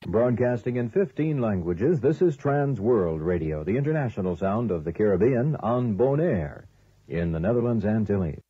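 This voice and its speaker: A man announces a radio station from a script with a very animated, swinging intonation. The sound is a dull, archival shortwave recording. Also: on the low side, normal speed, breathy edge, no room sound.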